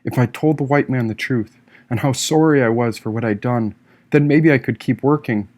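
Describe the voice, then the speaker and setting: Expressive male audiobook narrator, mid-pitched. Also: moderate pace. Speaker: author reading own audiobook. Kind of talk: dramatic first-person audiobook narration.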